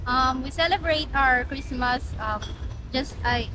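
A woman's voice: sits high, a little slow. A young woman with a Filipino accent, a nursing student, answering street interview questions in hesitant, giggly English. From Philippines. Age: young adult. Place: outdoors on plaza steps. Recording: noisy outdoor street.

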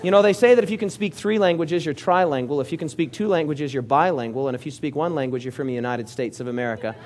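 A man's voice: fairly high pitch, fast, noisy background. A man preaching a sermon as pastor, talking with the congregation, asking questions and joking with them. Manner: lively, interactive preaching with audience questions and jokes. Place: church hall before a congregation.

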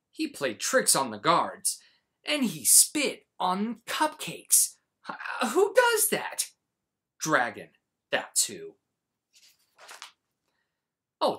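Very animated man reading a storybook. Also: high voice, breathy edge. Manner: dramatic, exaggerated emphasis with pauses. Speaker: children's storyteller. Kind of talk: animated storybook read-aloud.

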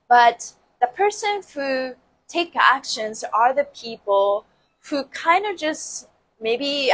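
A woman's voice: fairly high pitch, husky, rough recording. A woman in her forties from Japan with a Japanese accent, chatting casually to viewers on a livestream, often laughing and repeating phrases, recorded on a lapel mic indoors. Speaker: dance and movement coach. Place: indoor room with plants behind. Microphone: lapel mic clipped to shirt.

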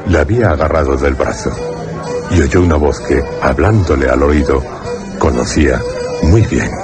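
Extremely deep Castilian male narrator. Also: over music. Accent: Castilian Spanish. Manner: grave, deliberate, dramatic pauses. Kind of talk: scripted radio horror narration.

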